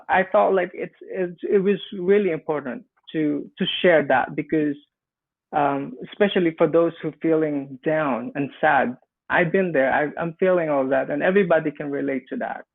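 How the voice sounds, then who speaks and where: Earnest Filipino-accented male panelist, slightly raised pitch, phone-quality audio. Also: vocal fry. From Philippines. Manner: earnest, halting with frequent restarts. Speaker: immigrant small business owner. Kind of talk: panel discussion storytelling. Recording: tinny phone-like line.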